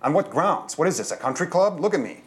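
A man's voice: fairly high pitch, very animated, quick pace, voice pushed up. A man voicing a hot-tempered, sarcastic man in disguise in a storybook, with a pushy, indignant, griping manner.

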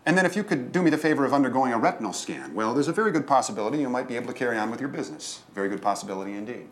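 A man's voice: lowish voice, lively intonation, fast, a bit bright, a little hiss or hum. A man voicing a prim, uppity major domo in a storybook, with a curt, superior, officious manner. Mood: pleasant but assertive.

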